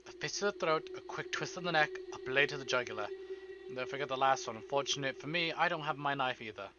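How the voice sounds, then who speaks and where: A man's voice: mid-range voice, fast talker, highs cut off, background noise. A man reading dramatic first-person narration aloud from a story game as a streamer. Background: game music.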